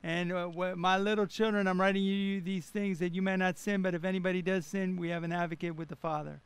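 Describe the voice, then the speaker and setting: A man's voice: high-pitched, level tone, fast, clean tone, no room sound. A man speaking as a pastor and radio co-host, preaching emphatically and repeating phrases for stress. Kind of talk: animated radio preaching and banter.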